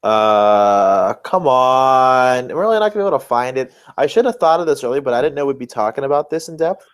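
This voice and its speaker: A man with a medium-pitched voice co-hosts a podcast. He talks casually and unscripted, reacting off the cuff as in everyday chat. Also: animated, a little fast, smooth. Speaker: podcast co-host.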